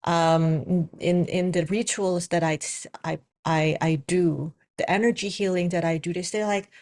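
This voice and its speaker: A woman with an Indonesian accent, a spiritual coach and psychic reader, talking casually to her viewers with many pauses and fillers. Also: lowish voice, steady tone, darkish tone, slight background noise. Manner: hesitant, many fillers and restarts. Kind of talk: casual spoken video message.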